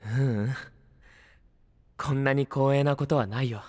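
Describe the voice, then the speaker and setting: An actor playing a gentle, courteous young companion and ceremony officiant in an anime-style voice drama. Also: male voice, medium pitch, steady tone, unhurried, in a large hall, lightly acted. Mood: gentle, supportive. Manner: soft-spoken, polite, formal when officiating.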